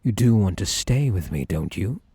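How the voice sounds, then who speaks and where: A man acts out an obsessive British bunny man for an ASMR roleplay. His voice is low and husky, swinging widely in pitch as he coaxes with theatrical, sweetly menacing politeness. It is breathy and sometimes slips into a whisper. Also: lively intonation, dark tone, dry recording. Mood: soothing, pleading. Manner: theatrical, coaxing, drawn-out polite endearments.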